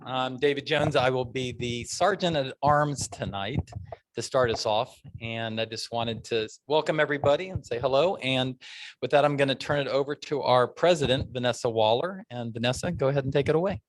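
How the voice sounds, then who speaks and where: A man's voice: mid-range voice, brisk, slight background noise. A man serving as sergeant at arms, opening a club meeting with a brief welcome.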